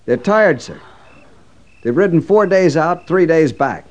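An actor playing an earnest, respectful but persistent cavalry subordinate officer in a 1950s radio western, speaking in a measured way. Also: male voice, fairly high pitch, lively intonation. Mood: earnest, concerned. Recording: dull, muffled old radio recording.

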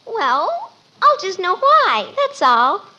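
A woman's voice: extremely high pitch, huge pitch swings, takes it slowly, sharp tone, lo-fi sound, exaggerated voice. A female performer playing an earnest, muddled little American daughter in a 1950s radio sitcom. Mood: eager, earnest. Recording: noisy old radio recording.

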